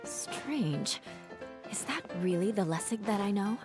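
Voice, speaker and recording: A woman's voice: mid-range voice, animated, measured pace, music in the background, lightly acted. A woman playing a composed, skeptical young woman in a video game, with a questioning, slightly commanding manner. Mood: doubtful.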